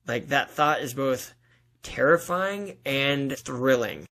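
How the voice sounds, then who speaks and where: A man in his thirties chatting casually as an interview guest, acting out bits of imagined dialogue in an animated, informal way. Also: medium pitch, slow. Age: 30s. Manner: animated storytelling, acts out imagined dialogue, frequent "like".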